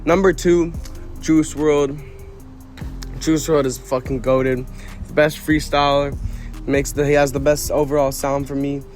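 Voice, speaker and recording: A man's voice: fairly high pitch, flat delivery, a little slow, pauses often, darkish tone, soft background music. A young man from Quebec, a musician being interviewed, talking casually with many fillers and restarts. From Quebec, Canada. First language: French. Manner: rambling, lots of fillers and restarts, slangy.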